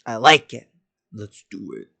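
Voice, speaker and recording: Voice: mid-range voice, wildly swooping pitch, measured pace, vocal fry, bright, forceful tone, no room sound. A game streamer giving casual, informal reactions while playing. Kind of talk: casual gameplay reaction commentary.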